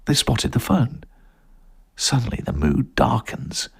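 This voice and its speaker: A man reading a story as dramatic scripted narration, in a suspenseful, expressive manner. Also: medium pitch, takes it slowly, gravelly, breathy edge.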